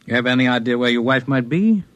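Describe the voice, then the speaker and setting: An actor playing a calm, scholarly alien healer man in a 1950s radio drama, measured and precise in speech. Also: mid-range voice, dry recording, natural voice.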